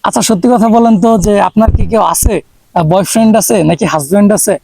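High-voiced young Bangladeshi man, creaky. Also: a little fast, dark tone, slight background noise, low-quality recording.

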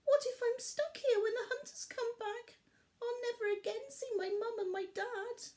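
A woman voicing a young male bear cub, in a high, childlike, tearful voice. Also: lively intonation, a little slow, heavily acted. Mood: scared.

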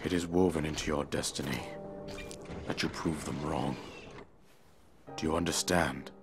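A man's voice: extremely low pitch, steady tone, moderate pace, gravelly. An actor playing a stern, commanding old king and disapproving father in a fantasy video game, formal and authoritative. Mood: grave, disappointed. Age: older. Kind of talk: dramatic video game cutscene acting. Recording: studio voice over prominent music.

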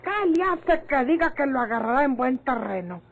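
Animated rural Mexican woman. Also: high-pitched, moderate pace, full character voice. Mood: urgent pleading. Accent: northern Mexican. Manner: earnest, rustic turns of speech. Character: pleading, protective, sharp-witted rural Mexican woman. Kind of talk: old Mexican radio drama acting. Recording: noisy old radio drama recording.

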